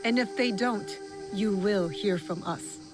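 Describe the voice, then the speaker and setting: Music plays clearly behind a young female ninja voiced by an actress with a medium-pitched voice. She is terse, determined and bent on vengeance, delivering dramatic game dialogue with firm honour. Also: unhurried, close to an everyday voice. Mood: resolute. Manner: terse, resolute. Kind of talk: dramatic video game cutscene acting.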